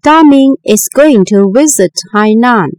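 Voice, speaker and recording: A woman narrating a textbook listening exercise, reading instructions and sentences with slow, careful enunciation. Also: fairly high pitch, animated, a little mellow, dry recording.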